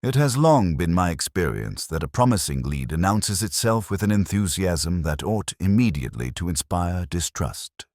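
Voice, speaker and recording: A man reading a scripted first-person detective story in a measured, reflective, literary manner. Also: sits low, big pitch swings, darkish tone, dry recording, studio quality.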